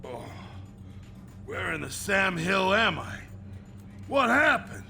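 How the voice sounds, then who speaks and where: A man voicing a rough, folksy tough brawler in a video game cutscene. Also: sits high, very animated, slow pace, sharp tone, faint music behind, heavily acted. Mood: groggy, confused.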